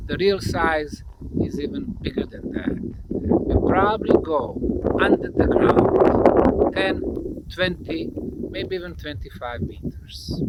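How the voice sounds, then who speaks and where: Slow, high-pitched man presenting in loud wind. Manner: emphatic, deliberate phrasing with dramatic pauses. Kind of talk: on-location documentary presentation to camera. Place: outdoors in open countryside. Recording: windy, noisy outdoor location sound.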